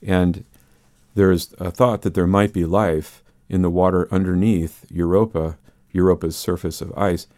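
An older man with a baritone voice, explaining and telling stories as the guest in a conversational radio interview. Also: fairly even intonation, unhurried, dark tone, no echo, studio quality. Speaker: interview guest, space scientist and musician.

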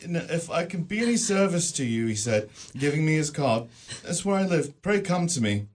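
An actor playing a gentle poor clerk and grieving father in a radio drama, soft and halting. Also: fairly high pitch, big pitch swings, slight background noise.

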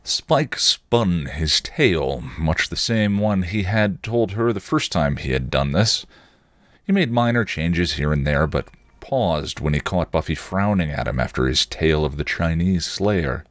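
A man reads a story aloud as a podcast host. His voice is low and moves through a lively range of pitch. The sound is dry and close. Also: a little slow, dry recording. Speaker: podcast host reading fan fiction aloud. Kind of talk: storybook narration.

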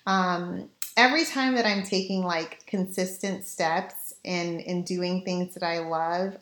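Raspy-voiced young woman in an echoey room. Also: on the low side. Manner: chatty, candid, laughs at herself. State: raspy, tired voice from late night. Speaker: podcast host. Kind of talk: casual solo podcast chat.

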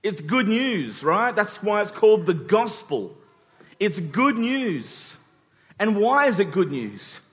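Male preacher, higher-pitched voice, conversational sermon delivery with emphatic repetition and rhetorical check-ins. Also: very animated, slow, fairly bright tone. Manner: emphatic repetition of key phrases.

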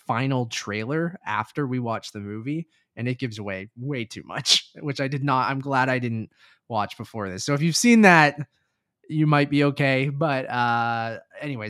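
A man hosts a movie podcast in a loose, conversational style. His voice sits at an ordinary male pitch, and the sound is close and dry, with very little room to it. He talks informally, as if chatting with friends. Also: fairly bright tone, no echo. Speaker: podcast host. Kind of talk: casual movie review podcast chat.

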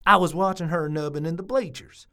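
A woman's voice: on the low side, brisk, bright, forceful tone. A woman voicing a nosy, defensive small-town busybody man with a Southern US accent, in a comic audio drama. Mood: eager, nosy.

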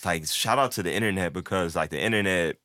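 A man's voice: sits low, fast talker, bright, forceful tone. A young man talking in a loose, rambling way, with lots of filler, and acting out what his friends said. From born in Turkey, raised in the United States. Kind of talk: casual chat.

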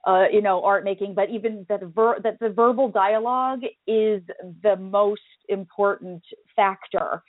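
A woman's voice: mid-range voice, steady tone, a little slow, no echo, narrow, tinny audio. A woman hosting a call-in radio show, praising her guest in an enthusiastic, rambling way. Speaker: radio host.

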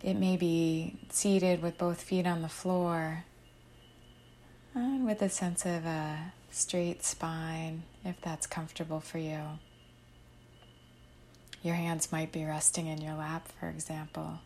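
A woman narrating a guided meditation in a soothing, unhurried, gently instructive manner, letting her phrase endings fall softly. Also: deep voice, fairly even intonation, slight background noise.

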